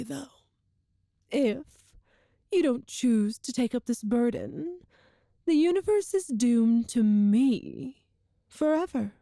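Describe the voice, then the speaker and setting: A cruel and seductive empress, acted by a woman in an audio roleplay, speaks slowly in a soft, mellow, fairly high voice. Her delivery is regal and teasing, with formal, calculated phrasing, recorded close and dry. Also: creaky, no room sound, exaggerated voice. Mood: smug, menacing. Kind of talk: scripted audio roleplay, ASMR-style monologue to the listener.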